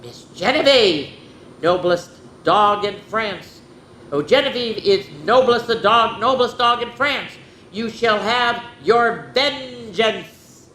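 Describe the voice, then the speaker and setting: A woman's voice: fairly high pitch, expressive, slow pace, fairly bright tone, pitched above the performer's own voice. A woman voicing a devoted, dramatic little schoolgirl in a children's storybook, in an exclamatory manner. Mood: indignant. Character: dramatic, devoted little schoolgirl who loves her dog. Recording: echoey room with noisy hum.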